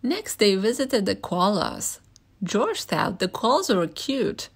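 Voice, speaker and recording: A woman's voice: medium pitch, sing-song, slow pace, breathy edge. Female storyteller for a children's picture book read-aloud, mid-range voice.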